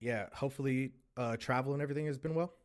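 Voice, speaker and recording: A man's voice: mid-range voice, fast talker. A man co-hosting a podcast, giving a casual fight breakdown and chatting with a guest. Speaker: podcast co-host. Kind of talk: casual MMA breakdown and interviewing.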